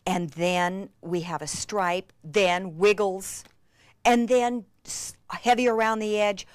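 A woman giving an enthusiastic hands-on craft demonstration, gushing and breathlessly excitable, with hushed asides. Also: medium pitch, slow, smooth, no room sound. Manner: gushing, breathlessly excited, with frequent gasps and exclamations.

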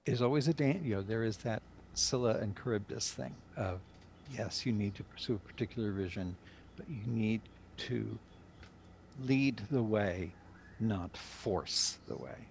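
Creaky low-voiced older man. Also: unhurried. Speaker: director. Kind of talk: panel interview answer. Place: indoor room, on a video call. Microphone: video call. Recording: noisy video call audio.

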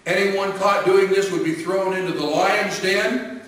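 A man's voice: high-pitched, steady tone, unhurried, fairly bright tone, heavy reverb, far from the mic, voice pushed up, rough recording. A man voicing a group of sly, scheming royal officials who accuse someone before a king. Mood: accusing.

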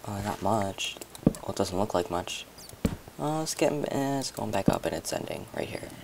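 A young man with a hoarse voice, chatting and rambling to viewers as he comments on his own gameplay. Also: on the low side, big pitch swings, moderate pace, very noisy. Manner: chatty, rambling, talks to viewers while playing.

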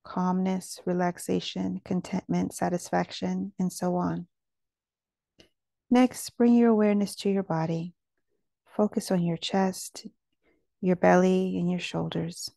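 Woman, meditation guide, soft mellow tone, gentle delivery, noticeable vocal fry, dry close sound. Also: lowish voice, steady tone, measured pace, dry recording.

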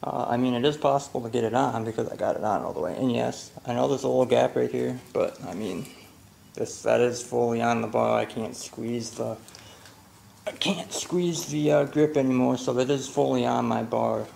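Slow, gravelly man in echoey garage. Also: medium pitch, darkish tone. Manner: slow, halting, filler-heavy explaining while working. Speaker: motorcycle vlogger. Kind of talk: casual how-to narration. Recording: echoey garage with faint ambient noise.

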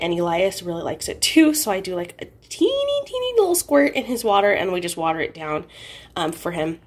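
A woman's voice: medium pitch, breathy edge. Congested woman chatting on a phone vlog. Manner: chatty, frequent ums and little laughs. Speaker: vlogger. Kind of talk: casual vlog chat. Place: parked car, then home kitchen. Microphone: phone camera at arm's length.

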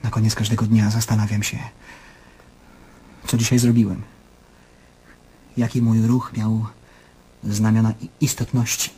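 An actor in a radio play voicing an anguished, self-questioning middle-aged man, brooding and intense, speaking in halting monologues. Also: lowish voice, mellow, husky, low-quality recording. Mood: weary, troubled.

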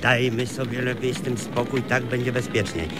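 A man's voice: medium pitch, flat delivery, moderate pace, over music, close to an everyday voice. An actor playing an impulsive, choleric married man who confides bluntly in a comic radio play. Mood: flustered. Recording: radio play with clear background noise.

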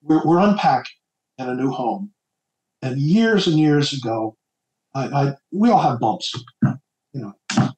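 A middle-aged man from New Jersey, an author guest on a casual podcast, talking in a halting way with many stammered repeats. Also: mid-range voice, lively intonation, darkish tone. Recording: echoey room.

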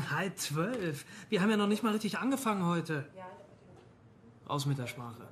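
Cautious, sensible police colleague for a radio play, slightly high voice, probing manner. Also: male voice, expressive, normal speed, slight background noise. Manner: reasonable, probing. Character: level-headed, cautious male police detective, concerned colleague. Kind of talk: German radio crime drama acting.

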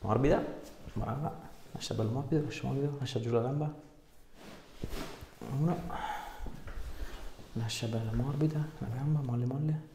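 A man's voice: mid-range voice, normal speed, long pauses. A man, a chiropractor giving casual, reassuring instructions to a patient in short phrases. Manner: informal, reassuring, short directions. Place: small indoor treatment room. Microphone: camera a short distance away. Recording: echoey room with background noise.

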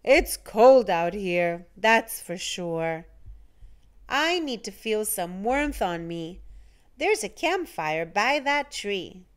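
A woman voicing a shivering, cheerful, childlike male snowman in a storybook, exclaiming with drawn-out, dramatic words. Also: medium pitch, sing-song, clear, resonant tone. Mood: shivering, complaining.

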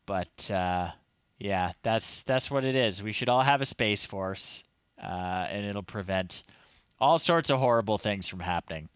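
A man's voice: medium pitch, a bit bright, smooth, dry recording, highs cut off. A middle-aged man giving casual, wry commentary on a live retro game stream. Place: small room indoors. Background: retro chiptune game music.

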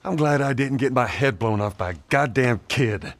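An actor playing a gruff, guarded middle-aged survivor in a video game, with a Southern US accent and a terse, commanding manner. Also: male voice, medium pitch, moderate pace, natural voice. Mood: irritated. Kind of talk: video game cutscene acting.

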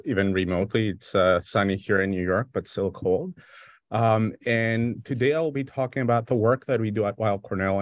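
A middle-aged man from Greece with a Greek accent, giving a relaxed, conversational lecture over a video call, with frequent fillers. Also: lowish voice, no echo. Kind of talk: remote academic lecture. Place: home or office on a video call. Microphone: video call microphone.

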